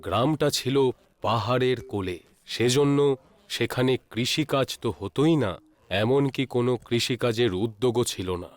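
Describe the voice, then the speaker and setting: A man narrating a scripted horror story in a measured, dramatic storytelling manner. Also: medium pitch, no echo.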